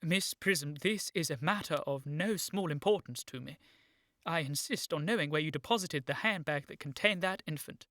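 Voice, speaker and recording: A woman playing an earnest, excitable gentleman in a period radio comedy, formal and urgent with an agitated stammer. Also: low-pitched, normal speed, no room sound, studio quality. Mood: urgent, insistent. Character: earnest, excitable young gentleman seeking his origins.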